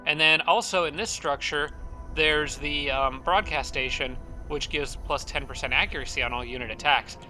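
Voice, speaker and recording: Bright-voiced man, slightly raspy, thinking aloud. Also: on the high side, fairly even intonation, moderate pace, faint music behind, low-quality recording. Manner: thinking aloud with frequent fillers and restarts. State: slightly raspy, dry throat. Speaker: strategy game let's-play host. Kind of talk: casual gameplay commentary.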